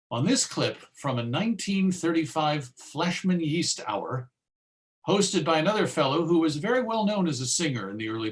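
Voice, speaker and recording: A man presenting an informal online lecture in a chatty, encouraging way. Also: fairly high pitch. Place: live online video presentation.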